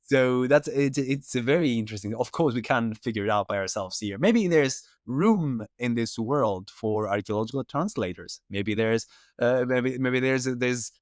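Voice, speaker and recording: A man's voice: medium pitch, moderate pace, few pauses, dry recording. Young man, podcast host, medium voice, musing, trails off, dry close sound. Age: young adult. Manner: musing, trailing off with unsure asides. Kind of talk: casual podcast conversation.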